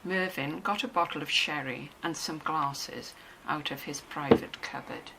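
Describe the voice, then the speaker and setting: A woman's voice: low voice, unhurried, creaky, pushed and bright, rough recording. A woman narrator reading a novel in a scripted, storytelling style. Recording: faint background noise and ambience.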